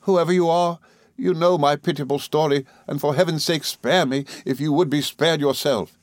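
A man reading a classic novel, voicing an anguished fallen young woman, soft, tearful and imploring. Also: on the high side, steady tone, moderate pace, no echo, pitched above the performer's own voice, close to an everyday voice. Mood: pleading, tearful.

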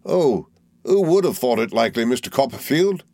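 A man's voice: medium pitch, expressive, brisk, darkish tone, dry recording, studio quality, voice pushed up, natural voice. A man reading aloud and voicing a fawning, falsely humble young male clerk with a wheedling, obsequious manner.

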